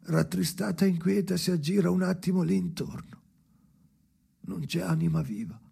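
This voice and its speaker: A man playing a haunted, brooding storyteller in an experimental radio drama, speaking in halting, repeated fragments with a creaky voice that often drops to whispers. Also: high voice, warm tone, full character voice. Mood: brooding, uneasy. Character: haunted brooding man narrating memories alone by the sea.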